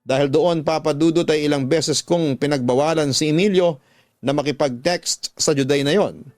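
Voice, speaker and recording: Sentimental male radio drama narrator, slightly high. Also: moderate pace, darkish tone, no room sound, studio quality. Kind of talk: dramatic first-person story narration. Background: faint music.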